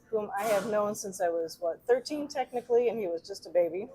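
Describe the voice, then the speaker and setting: A young woman with a mid-pitched voice hosts an interview at a restaurant. She sounds friendly and encouraging, keeping things moving with short prompts and questions. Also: fairly quick, mellow, breathy edge, low-quality recording. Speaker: host. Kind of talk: hosting an interview.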